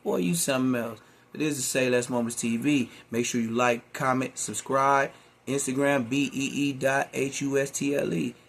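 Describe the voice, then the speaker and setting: A man's voice: mid-range voice, level tone, normal speed, vocal fry. A man hosting a reaction video, talking in laid-back slangy street talk. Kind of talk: casual commentary.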